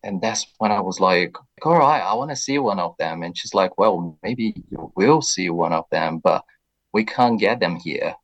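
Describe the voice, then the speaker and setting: Man, Brazilian accent, deep low voice, reflective, measured, soft fillers, radio guest. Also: animated, rough recording. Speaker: interview guest. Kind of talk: radio interview.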